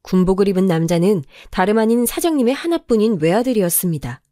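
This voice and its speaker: Woman narrator, warm low tone, calm first-person story reading, dry close sound. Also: fast, dry recording.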